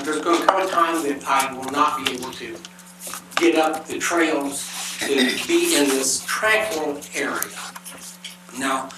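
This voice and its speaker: Earnest older man in an echoey chamber. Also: a little high. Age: 70s. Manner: earnest, plainspoken, with frequent uh pauses. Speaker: retired electrician speaking at public comment. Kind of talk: public comment at a council meeting. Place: large council chamber. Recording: echoey chamber with background noise.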